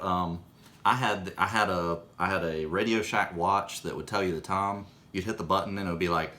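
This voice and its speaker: A man casually telling rambling anecdotes in conversation, halting and correcting himself as he goes. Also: low-pitched, a bit bright. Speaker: storyteller recalling his own childhood.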